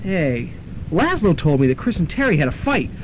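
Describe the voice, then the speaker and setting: A man's voice: on the high side, sing-song, moderate pace. A young man playing an earnest, slightly nervous college student in a student radio drama. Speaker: Lance. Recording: noisy old radio tape.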